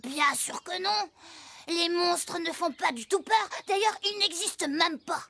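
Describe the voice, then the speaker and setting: A woman's voice: very high-pitched, a little slow, sharp tone, heavily acted. A woman voicing a playful, teasing young female prankster in a children's cartoon dub. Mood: reassuring. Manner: mocking, boisterous.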